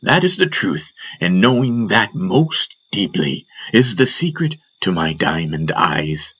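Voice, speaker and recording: A man's voice: low voice, very animated, takes it slowly, bright, forceful tone, slightly rough, heavily acted, rough recording. A man voicing a serene, playful spiritual master who teaches emphatically in a fantasy audiobook. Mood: earnest, emphatic. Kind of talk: audiobook character acting.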